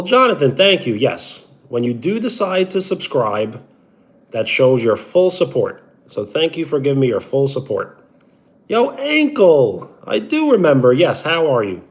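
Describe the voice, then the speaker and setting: A young man from New York talks in a Brooklyn accent, chatting loosely with the viewers of his live stream. His pitch swings up and down a lot as he calls out names with a big stretched "yo, what up". Between greetings he drops into an easy sports-radio delivery.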